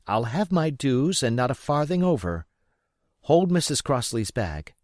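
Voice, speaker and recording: Man acting stubborn innkeeper mother, storybook character, raised pitch, firm, indignant, emphatic, animated. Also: a little slow, dry recording, clean studio sound. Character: stubborn, honest innkeeper mother, adult woman.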